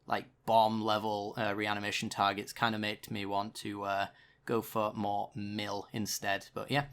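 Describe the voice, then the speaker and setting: A man's voice: on the low side, monotone, a little slow, a bit bright, clear, resonant tone. Chatty man doing gameplay commentary. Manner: casual, chatty, with dry sarcasm. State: recovering from a sore throat. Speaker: card game streamer.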